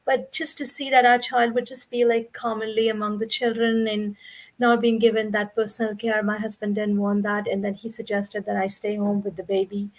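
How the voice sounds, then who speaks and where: A woman in her forties, originally from India, talks through her own story as an interview guest. Her voice sits a little high, and she explains things at length in an earnest, conversational way over a video call. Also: darkish tone, rough recording.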